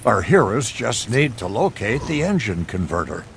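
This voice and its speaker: An actor playing a grandiose, theatrical narrator of a campy 1960s superhero show in a video game. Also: male voice, fairly low pitch, big pitch swings, moderate pace, creaky, fairly bright tone, a little hiss or hum, heavily acted. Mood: excited, urgent. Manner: theatrical, dramatic, alliterative.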